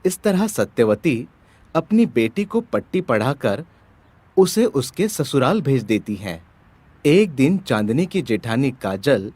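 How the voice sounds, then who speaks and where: A man's voice: mid-range voice, darkish tone, husky, no room sound. A man narrating a scripted story as the storyteller.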